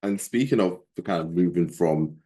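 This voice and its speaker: A man's voice: deep voice, normal speed, mellow. A man hosting an interview, asking long, rambling questions filled with "kind of" hedges. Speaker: podcast host.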